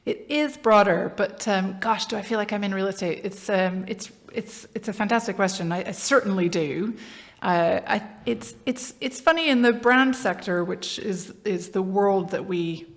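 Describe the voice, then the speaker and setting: A woman's voice: medium pitch, moderate pace. A woman in her forties with an American accent, talking animatedly as a podcast guest. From Brazil. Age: 40s. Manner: animated, self-deprecating, many restarts. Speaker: podcast guest, agency founder. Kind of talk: casual interview chat.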